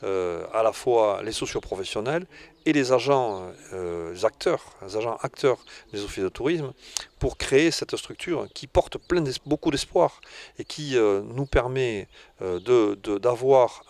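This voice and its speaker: A man speaking in an interview as a director, halting and repeating his words. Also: mid-range voice, creaky, darkish tone. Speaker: tourist office director. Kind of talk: spoken interview answers.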